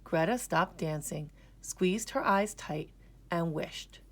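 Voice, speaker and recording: A woman reading a children's storybook aloud in an expressive narrating style. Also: lowish voice, takes it slowly. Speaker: storybook reader.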